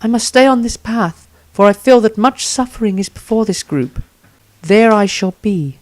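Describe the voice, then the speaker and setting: A woman voicing an earnest, devout priestess adventurer in a fantasy audiobook, with measured delivery. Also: medium pitch, animated, a little mellow, lightly acted. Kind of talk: fantasy audiobook character reading.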